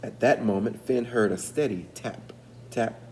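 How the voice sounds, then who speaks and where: A man with a lowish voice reads a storybook to schoolchildren. He takes his time and puts questions to his young listeners. Some room noise sits behind him. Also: measured pace, a little mellow. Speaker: educator reading aloud to schoolchildren. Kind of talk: storybook read-aloud.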